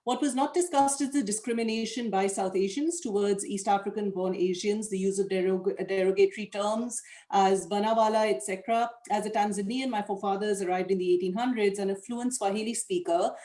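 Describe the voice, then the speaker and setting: A woman moderating a panel over a video call, her voice mellow and a little dark. She speaks in a measured, thoughtful way as she reads out audience questions. The room around her sounds roomy and echoey. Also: medium pitch, steady tone. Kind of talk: online panel discussion.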